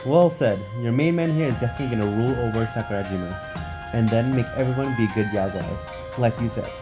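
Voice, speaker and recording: A man voicing a brash but soft-hearted big-guy fighter, boastful and swaggering, in a hobby read-aloud of a game story. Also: mid-range voice, fairly quick, over music, natural voice. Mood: confident, boastful.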